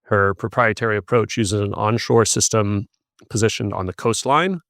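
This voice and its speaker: A man hosting a podcast, reading an intro and asking interview questions in an even delivery. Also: on the low side, normal speed, dry recording, clean studio sound. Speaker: podcast host.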